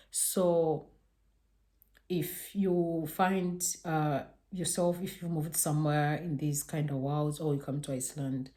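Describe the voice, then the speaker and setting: Low, mellow-voiced woman from Uganda. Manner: hesitant, many fillers and restarts. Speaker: vlogger. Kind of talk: casual to-camera vlog.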